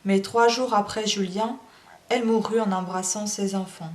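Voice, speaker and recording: A woman's voice: mid-range voice, steady tone, moderate pace. A woman reading a classic novel aloud in a language that is not her first, then chatting hesitantly with many fillers. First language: not French. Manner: emotional, admits crying while reading. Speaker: audiobook reader.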